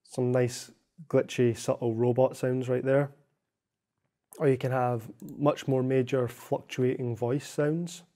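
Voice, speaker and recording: A young man narrating a software tutorial in a measured, explanatory way. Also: mid-range voice, little pitch movement. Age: young adult. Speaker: software demonstrator.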